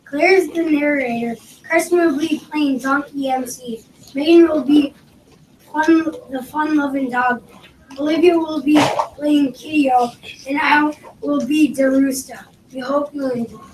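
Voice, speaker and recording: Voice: high voice, fairly even intonation, measured pace. A child making a scripted announcement as a student performer introducing a school play. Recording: echoey room, distant microphone, faint noise.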